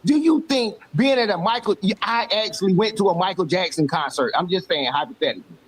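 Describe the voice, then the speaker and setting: A man's voice: high voice, animated, quick pace, breathy edge, poor audio. A man in his fifties arguing his point in a casual sports debate, often talking over the others. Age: 50s. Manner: argumentative, talks over others.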